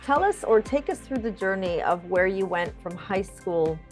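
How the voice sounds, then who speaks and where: Woman, interview host, medium pitch, structured conversational questioning, faint music behind. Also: animated, moderate pace.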